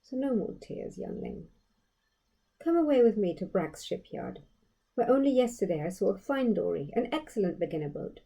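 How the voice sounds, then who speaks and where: Woman reading as a murmuring, husky, motherly queen. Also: medium pitch, big pitch swings, normal speed, dark tone, heavily acted, low-quality recording. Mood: soft, comforting. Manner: murmuring, coaxing. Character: gentle, encouraging island queen and mother. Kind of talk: storybook character voice.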